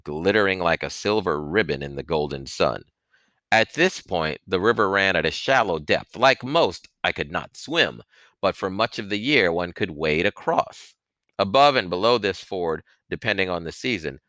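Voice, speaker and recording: Deep, bright-voiced man reading a story. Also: dry recording. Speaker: audiobook reader, book-reading host. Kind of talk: expressive scripted narration of a novel in first person.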